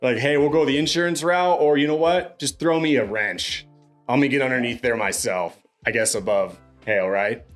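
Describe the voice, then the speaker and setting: A man's voice: mid-range voice, normal speed. A young man hosts a podcast in a laid-back, chatty manner, with an upbeat delivery and a mid-range voice. Faint music plays behind him. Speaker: podcast host. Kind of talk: casual podcast hosting.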